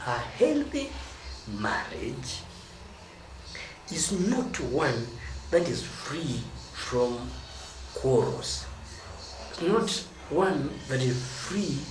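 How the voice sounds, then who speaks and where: A Kenyan man giving marriage advice as a teaching talk, stressing key words and drawing them out. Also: fairly high pitch. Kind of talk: teaching monologue. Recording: roomy echo from a distance, noisy.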